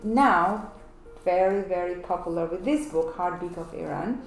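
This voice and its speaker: Chatty woman host, slightly low voice. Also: unhurried. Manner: chatty, long rambling questions. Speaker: interview host. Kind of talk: casual interview conversation. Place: guest's flat, indoors. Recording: echoey room with faint noise.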